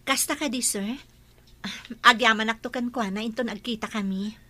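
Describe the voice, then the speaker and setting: A woman's voice: on the high side, fairly even intonation, moderate pace, sharp tone, no room sound. A woman playing an anxious, deferential young Filipina household helper in an Ilocano drama, polite and hesitant. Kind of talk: Ilocano radio-style drama acting.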